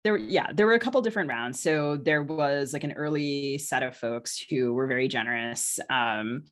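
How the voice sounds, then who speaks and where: A woman's voice: rumbling low, normal speed. A woman in a casual podcast conversation, speaking as a novelist and co-host in a hesitant, circling way with many qualifications.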